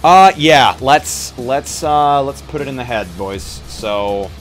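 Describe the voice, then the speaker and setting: A man's voice: mid-range voice, expressive, slow, soft background music. A man chatting casually and thinking aloud as a player in a tabletop roleplay.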